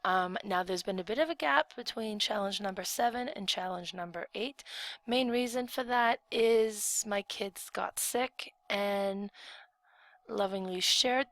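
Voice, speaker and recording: A woman talks through a tarot reading in a relaxed, explanatory way, pausing on ums as she thinks. Her voice sits at a middling pitch and is still a little worn from a recent cold. The sound is dry and close, with no room echo. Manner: chatty and explanatory, with frequent ums. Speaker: tarot reader. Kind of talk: casual tarot reading video.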